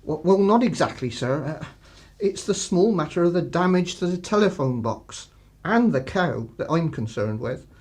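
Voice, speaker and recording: A man acts a village constable in a radio drama, with an English accent. He is apologetic, plodding and slyly polite, his pitch rising and falling in a very lively way. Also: normal speed, natural voice. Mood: deferential, hesitant. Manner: hesitant, apologetic, polite, roundabout. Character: deferential, plodding but sly male village constable. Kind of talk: radio play acting.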